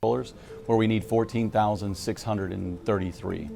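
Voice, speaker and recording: A man's voice: lowish voice, fairly even intonation, a little slow, gravelly, mellow, faint music behind. A man explaining problems in an interview, speaking on behalf of a workforce.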